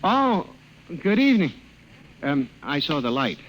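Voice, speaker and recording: A man's voice: a little high, big pitch swings, a little slow, background noise. An actor playing a timid, earnest male statistician in an old-time radio drama, with a hesitant, dramatic delivery. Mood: shy, nervous. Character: timid, earnest, humiliated male office statistician narrating his ordeal. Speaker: narrator and lead character. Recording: vintage radio recording with music.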